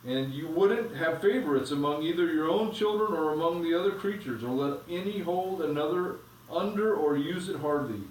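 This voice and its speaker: A man reading aloud, voicing a majestic, kind male lion king in a grave, measured way. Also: on the high side, slight background noise, voice pushed up, natural voice. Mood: solemn. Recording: echoey room, from a distance.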